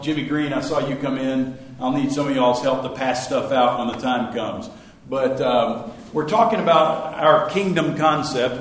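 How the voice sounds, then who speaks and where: A slightly hoarse middle-aged pastor talks to his congregation in a folksy, easygoing way, filling pauses with "uh" and poking fun at himself. His voice sits at an ordinary middle pitch and carries a roomy echo from the church around him. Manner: folksy, self-deprecating, many fillers. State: a little hoarse. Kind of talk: casual Wednesday night church talk.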